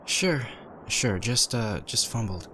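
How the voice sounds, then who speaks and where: A man acting as the brooding, uneasy narrator of a dark horror audio drama, reading in a dramatic scripted style. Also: medium pitch, expressive, slow. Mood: uneasy, hesitant. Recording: noisy, with background ambience effects.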